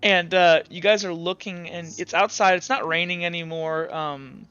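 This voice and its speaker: A man narrating a tabletop roleplaying game as game master, informal and halting, pausing to think. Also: sits high, steady tone, sharp tone, smooth. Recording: slightly dull audio with faint music. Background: faint music.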